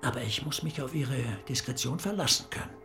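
An actor playing a reflective, scholarly brain expert in a German radio drama, speaking in a musing way. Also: male voice, medium pitch, fairly quick, vocal fry, bright, forceful tone, faint music behind. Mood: musing, confiding.

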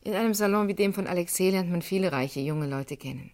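A woman's voice: on the low side, fast talker, darkish tone, natural voice. A woman playing a guarded young Parisian in a 1980s radio crime drama, answering with reserve and a slight edge. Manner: reserved, slightly pointed replies.

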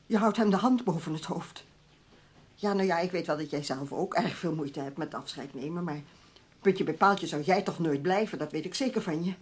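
A woman's voice: fairly low pitch, normal speed, a little mellow, natural voice. An actress playing an easily startled, reproachful woman office colleague in a Dutch radio play, speaking emotionally. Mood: reproachful, pleading.

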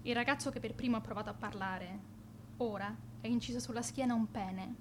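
A woman's voice: on the high side, fairly quick, a little hiss or hum, lightly acted. A woman playing a cheeky, evasive, touchy teenage schoolgirl in a naturalistic radio drama, with a slangy, offhand, defensive way of talking. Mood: earnest, coaxing.

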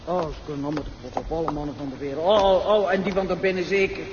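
A woman's voice: fairly low pitch, quick pace, noisy background, close to an everyday voice. An actress playing a drunk Flemish woman who slurs, stammers and rants at men, in a radio drama. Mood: drunken rant. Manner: slurred, stammering, loud outbursts. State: drunk, slurring. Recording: dull lo-fi radio recording.

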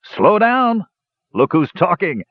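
A man voicing a boastful, jovial, hard-drinking bearded dwarf in a fantasy audiobook, with loud roaring speech. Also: medium pitch, sing-song, normal speed, no echo, muffled recording, voice pushed up. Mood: indignant, roaring.

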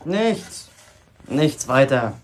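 A young male apprentice in a radio drama. He is sullen and restless, answering in curt, evasive phrases with a stubborn, defiant edge, in a medium-pitched voice. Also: sing-song, drawn-out words. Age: young adult. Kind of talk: German radio play acting.

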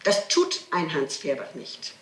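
A woman's voice: low-pitched, huge pitch swings, measured pace, bright, forceful tone. Insistent woman on an echoey old tape. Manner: emphatic, insistent, pleading. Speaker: person being questioned by a prosecutor. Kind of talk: spontaneous interrogation answers. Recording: echoey, noisy archival interrogation tape.

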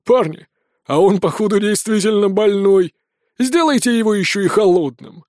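A man voicing a crude, mocking bandit leader with a booming, threatening manner in a fantasy audiobook. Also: lively intonation, normal speed, darkish tone, dry recording, studio quality. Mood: angry. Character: gruff menacing male bandit chief, crude and mocking.

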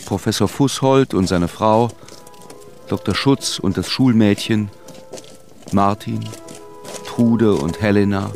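An actor narrating a literary radio play as a solemn, measured storyteller. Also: male voice, lowish voice. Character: solemn storyteller recounting a deportation.

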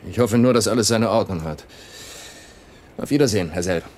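Man acting a low-voiced suspicious guard. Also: fairly quick, a little mellow, a little hiss or hum, natural voice. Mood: suspicious, stiff. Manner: curt, official. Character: suspicious, officious male factory security guard. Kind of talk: crime radio drama dialogue.

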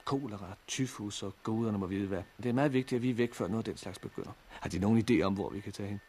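An actor playing a practical, courteous man surviving a catastrophe in a 1960s radio drama, with measured, matter-of-fact delivery. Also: lowish voice, darkish tone, slight background noise, close to an everyday voice. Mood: grave.

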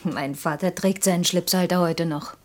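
A woman's voice: on the low side, fairly even intonation, normal speed, darkish tone, lightly acted. Actress as cheeky, self-assured young German woman. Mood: cheeky, curious. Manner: pert, ironic, challenging. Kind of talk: naturalistic German radio play dialogue.

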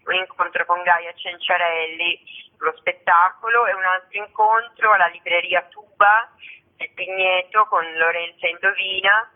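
Actress chatting on the phone in an interview, casual, tinny narrow line. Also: female voice, fairly low pitch, fairly even intonation, a little slow. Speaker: actress and author, interview guest. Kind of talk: casual interview talk, giving event information. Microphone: telephone.

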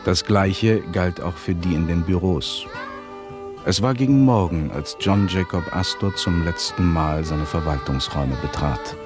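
An actor playing a composed narrator in a radio play, telling the story in a measured way. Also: male voice, deep voice, music in the background. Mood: grave. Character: composed male narrator of a disaster chronicle.

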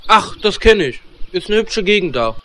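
A man's voice: high-pitched, big pitch swings, moderate pace, pushed and bright, slight background noise, heavily acted. A man playing a cheeky, clueless gamer adventurer in a parody fantasy audio drama.

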